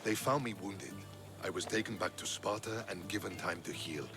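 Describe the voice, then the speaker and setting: A man playing a battle-worn, loyal Spartan soldier in dramatic game dialogue, measured and steadfast. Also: sits low, gravelly, over music. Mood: warm relief.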